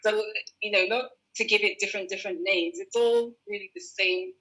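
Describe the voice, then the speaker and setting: A woman designer talks through her work on a video call, pausing to find words and restarting her sentences. Her voice is bright and clear, at a middle pitch. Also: fairly even intonation, rough recording. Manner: thoughtful, explains with restarts and fillers. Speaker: fashion designer guest. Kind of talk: relaxed conversational interview.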